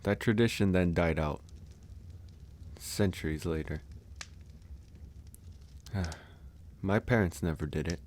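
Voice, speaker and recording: Some noise sits behind a deep-voiced male werewolf, acted by a man in an ASMR audio roleplay. He speaks very slowly and low, in a calm, easygoing way. His delivery is candid and musing, with sighs and unhurried pauses, as in a close one-to-one talk. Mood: musing, storytelling.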